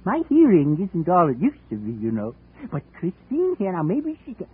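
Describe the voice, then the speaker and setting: A man's voice: sits high, normal speed. An actor playing an eccentric, fussy old man in his seventies who collects coins, in a 1950s radio drama. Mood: doddering. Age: 70s. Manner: fussy, doddering, swooping excitedly. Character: eccentric elderly male coin collector. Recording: tinny old radio broadcast, faint hiss.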